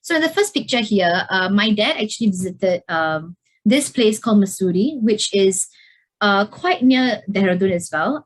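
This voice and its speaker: Young woman presenting hesitantly. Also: medium pitch. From Singapore. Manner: hesitant, many fillers like "uh" and "like". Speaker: student presenter. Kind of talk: presentation over slides. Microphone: video call.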